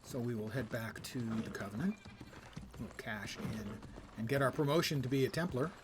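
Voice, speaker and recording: Game streamer, middle-aged man, medium pitch, casual thinking-aloud commentary over clear background noise. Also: moderate pace. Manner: thinks aloud while playing.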